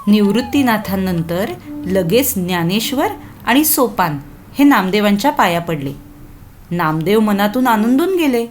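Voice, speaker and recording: A woman's voice: mid-range voice, lively intonation, measured pace, faint music behind. A woman narrating a scripted children's story expressively, addressing young listeners with questions.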